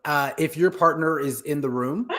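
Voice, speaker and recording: A man's voice: a little high, moderate pace, fairly bright tone. A middle-aged man co-hosting a casual live pop culture show on a video call from home, talking in a joking, conversational way. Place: home room, indoors.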